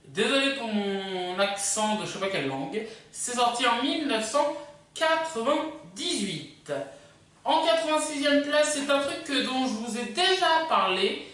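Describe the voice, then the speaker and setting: A woman hosting a casual countdown video, presenting in a hesitant, drawn-out way. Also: on the high side, lively intonation, a bit bright. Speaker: video host. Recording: very echoey, distant from microphone.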